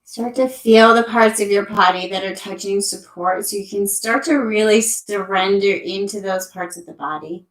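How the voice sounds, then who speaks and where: A woman gently guiding a yoga nidra meditation with soothing, unhurried instructions, as a teacher would. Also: mid-range voice, steady tone. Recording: echoey room, amateur sound quality.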